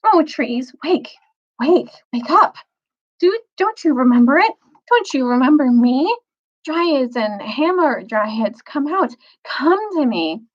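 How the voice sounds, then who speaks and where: A woman's voice: high-pitched, animated, takes it slowly, dull audio, pitched above the performer's own voice. A woman voicing a dreamy, wistful young girl in a storybook, murmuring or calling out earnestly. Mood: longing, pleading. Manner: hushed murmuring rising to earnest calls.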